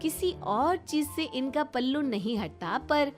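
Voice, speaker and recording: A woman voicing a young daughter-in-law in a family story, eager and expressive. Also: high voice, a little slow, music in the background. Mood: scheming, excited. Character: young scheming but caring daughter-in-law. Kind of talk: animated family story acting.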